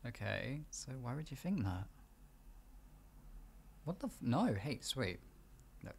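A man playing a gentle, doting boyfriend in an improvised ASMR roleplay, coaxing softly and reassuringly in an intimate, husky, gravelly voice. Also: medium pitch, very animated, takes it slowly, a little hiss or hum, heavily acted. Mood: earnest reassurance. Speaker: voice actor in audio roleplay.

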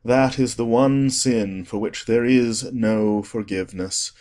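A man's voice: medium pitch, fairly even intonation, slow, darkish tone, close to an everyday voice. A man voicing an unruffled older aristocrat, a cynical wit with a breezy, dismissive manner, in an audiobook. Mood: amused cynicism. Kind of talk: dramatic audiobook character acting.

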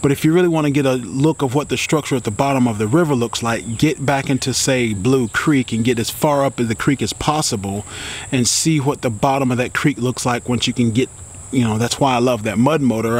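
A man's voice: medium pitch, a little fast. A middle-aged American man giving casual fishing advice to the camera in an informal, rambling, repetitive way. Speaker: fishing vlogger. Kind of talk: casual instructional talk to camera. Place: outdoors beside a building in a green yard. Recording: clearly noisy outdoor insect ambience.

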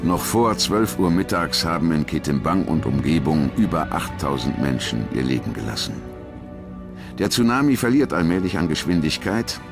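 A man's voice: very deep voice, big pitch swings, normal speed. A man reading scripted documentary narration. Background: music.

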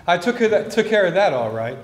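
Man playing a high-pitched gallant English courier. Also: sing-song, fairly quick. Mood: courteous. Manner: courtly, formal, clipped replies. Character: gallant, dutiful English diplomatic courier, formal and charmed. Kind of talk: theatrical period stage acting. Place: theater stage, indoors. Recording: echoey stage hall, hissy background noise.

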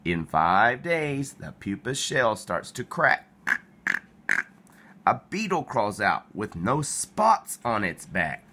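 A man reading a children's picture book aloud in an expressive storytelling style, animated and playful. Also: on the low side, slow pace, slight background noise. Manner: animated, with playful exclamations and sound effects.

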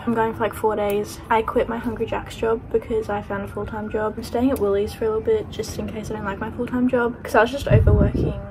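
A young adult woman with an Australian accent, chatting casually and informally to camera as a vlogger. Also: mid-range voice. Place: varies: car, backyard, kitchen, bedroom. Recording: noisy home-shot vlog audio.

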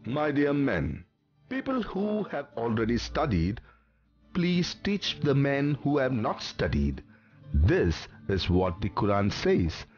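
A man voicing a wise, confident village mullah in a children's cartoon, addressing his congregation in a slow, measured way. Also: medium pitch, a little mellow, lo-fi sound, heavily acted. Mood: instructive.

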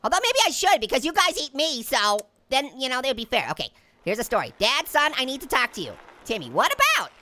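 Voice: sits high, wildly swooping pitch, quick pace, pushed and bright, heavily acted. A performer voicing a cocky, joking talking orange who comments on a game, goofy and full of yelps. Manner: goofy, jokey, yelps and laughs. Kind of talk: cartoon character playing a game on camera.

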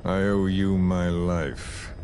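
A menacing military villain from a video game, speaking very slowly in a low voice. He is cold and theatrical, mocking with suave condescension, on a faintly noisy tape. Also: steady tone, darkish tone. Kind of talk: dramatic video game acting. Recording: slightly noisy secret cassette recording.

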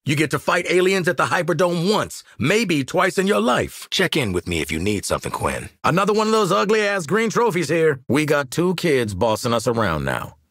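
A man's voice: fairly high pitch, very animated, moderate pace, few pauses, fairly bright tone, no room sound, clean studio sound, exaggerated voice. A man playing a cocky, wry mercenary marksman in a video game, streetwise and sardonic, barking commands to his squad and swearing freely. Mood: wry, casual.

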